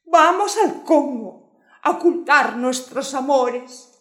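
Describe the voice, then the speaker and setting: A melodramatic young noblewoman in a comic radio play, her voice high and gushing with love. She declaims slowly and theatrically in an echoey room. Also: a bit bright. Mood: passionate. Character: lovestruck, melodramatic young noblewoman, count's daughter. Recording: roomy echo.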